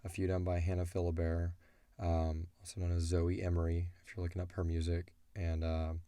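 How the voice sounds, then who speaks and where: Middle-aged male host for informal Q&A, very low and dark in tone. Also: completely flat delivery, fairly quick, smooth, dry recording. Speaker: audio drama creator and show host. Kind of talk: casual Q&A chat about a show.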